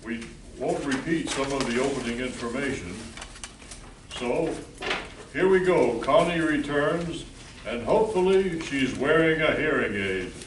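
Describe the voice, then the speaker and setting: A man with a mid-pitched voice narrates an old-time radio play as a formal showman announcer. He sounds distant in an echoey room, with faint noise. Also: animated, a little slow. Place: live stage show in an echoey room.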